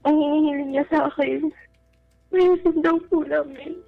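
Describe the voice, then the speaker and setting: A woman with a Filipino accent giving a personal account on a radio advice show, talking casually and politely in a halting, deferential way. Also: high voice, steady tone, vocal fry. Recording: dull band-limited radio sound, faint music. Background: background music.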